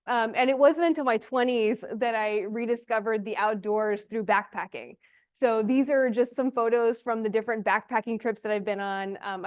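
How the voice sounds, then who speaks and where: A young woman from Honduras and Texas giving an informal, explanatory conference presentation. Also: a little high. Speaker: speaker, ecological restoration student and forest project lead.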